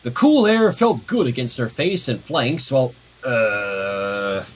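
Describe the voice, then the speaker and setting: A man's voice: mid-range voice, expressive, slow pace, husky. A man reading a story aloud informally on a livestream, dropping in casual asides. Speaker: livestream fan fiction reader.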